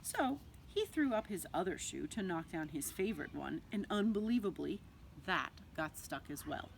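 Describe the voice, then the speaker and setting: A woman reading a picture book aloud to young children like a nursery teacher, expressively, with dramatic pauses and drawn-out words. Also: lowish voice, sing-song, creaky, a little hiss or hum.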